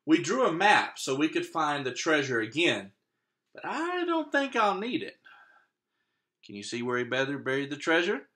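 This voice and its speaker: A man's voice: on the high side, wildly swooping pitch, moderate pace, sharp tone. A man reading a picture book aloud expressively as a teacher, stopping to put questions to young listeners.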